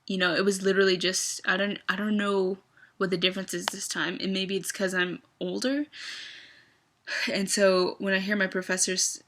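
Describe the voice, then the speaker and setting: A woman's voice: medium pitch, little pitch movement, moderate pace, fairly bright tone. A young woman in a casual, confessional vlog, talking in a rambling, hesitant way with many fillers. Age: young adult. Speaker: vlogger.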